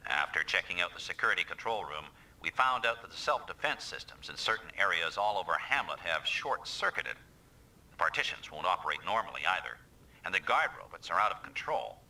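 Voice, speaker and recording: An actor playing a gruff mech squad leader in a video game, giving clipped, authoritative military orders. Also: male voice, low voice, big pitch swings, a little fast, pushed and bright, recorded from a distance, full character voice, rough recording. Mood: grave, businesslike.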